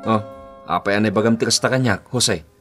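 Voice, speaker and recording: A man's voice: fairly low pitch, a little fast, a bit bright, soft background music, lightly acted. A man acting an evasive, two-timing young boyfriend in a radio drama, with a Filipino accent. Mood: defensive.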